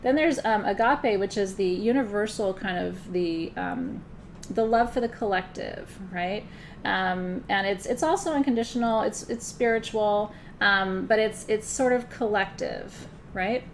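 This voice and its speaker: A woman's voice: mid-range voice, unhurried, slight background noise. A woman teaching a live spiritual class in a loose, conversational way, often checking in with her listeners. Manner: loose, frequent fillers and restarts.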